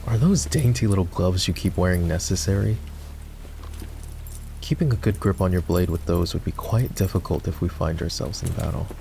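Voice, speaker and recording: Man playing a deep, gravelly, sardonic knight. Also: moderate pace, mellow, slight background noise. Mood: mocking, impatient. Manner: sardonic, teasing, commanding. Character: gruff, sardonic fantasy knight, grudgingly escorting a princess. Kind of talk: roleplay ASMR voice acting.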